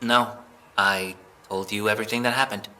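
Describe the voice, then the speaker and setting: A man's voice: lowish voice, steady tone, moderate pace, bright, forceful tone. A man playing a shaken, anxious civilian under police questioning in an amateur audio drama, speaking in hesitant, stammering answers. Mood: weary.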